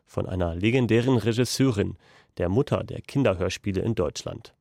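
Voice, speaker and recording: Dry, gravelly male radio presenter. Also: lowish voice, fairly quick, warm tone, no echo. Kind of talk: scripted introduction. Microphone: close studio mic.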